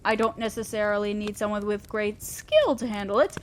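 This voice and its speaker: A woman's voice: medium pitch, brisk, slight background noise, lightly acted. A woman playing a fair-minded but ambitious demon lord boss in a scripted audio drama, speaking formally and directly to an underling, with a sly teasing streak. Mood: persuasive, reassuring.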